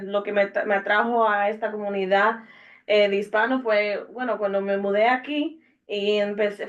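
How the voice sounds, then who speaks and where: A young woman introducing herself casually on a podcast, hesitant and full of fillers and restarts. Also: mid-range voice. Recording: dull lo-fi podcast audio.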